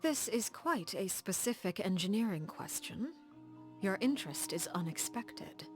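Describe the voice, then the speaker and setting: A woman playing a coolly elegant, formal leader of agents in a video game, speaking in a composed, measured and refined way. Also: mid-range voice, expressive, faint music behind, lightly acted. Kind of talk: scripted video game character dialogue.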